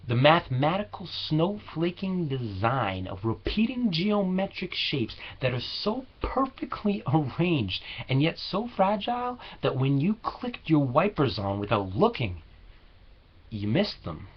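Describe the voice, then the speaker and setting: A man reciting slam poetry with earnest, deliberate, dramatic phrasing, on a low-quality home recording. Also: sing-song, slightly rough, lo-fi sound. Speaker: slam poet.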